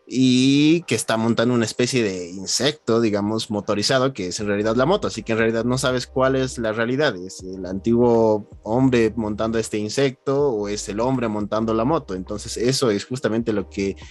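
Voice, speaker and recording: A man's voice: on the low side, normal speed, few pauses, a little mellow. A man hosting a literary podcast in a conversational interviewing style. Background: background music.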